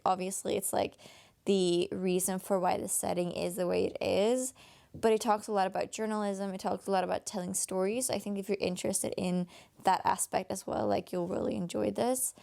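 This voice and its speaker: Tired raspy woman, rambling review. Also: medium pitch, darkish tone. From Denmark. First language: Danish. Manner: rambling, many fillers and restarts. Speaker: book vlogger. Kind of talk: casual book review vlog.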